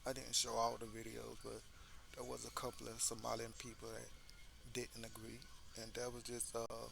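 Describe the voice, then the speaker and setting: Guy hosting a commentary channel, gravelly voice, pretty monotone, lots of "you know", faint hiss behind him. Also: medium pitch, moderate pace. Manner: loose, filler-heavy talk with lots of "you know". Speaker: host. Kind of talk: casual commentary.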